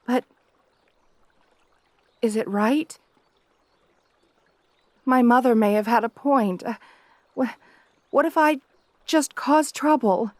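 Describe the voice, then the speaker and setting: A woman's voice: fairly high pitch, slow pace, long pauses, no room sound. A woman playing a shy, devoted young princess in a romantic ASMR roleplay, hesitant and deferential, often stammering. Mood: incredulous, unsure.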